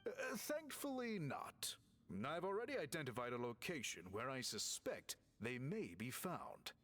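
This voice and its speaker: A man playing a polite, businesslike tribe official in a video game, speaking in measured, formal phrases. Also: on the high side, sing-song, a bit bright, a little hiss or hum. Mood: assured.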